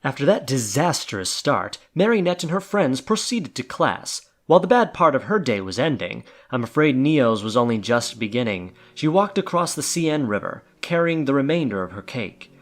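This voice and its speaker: A man narrating an audio drama in a playful, theatrical storytelling manner. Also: medium pitch.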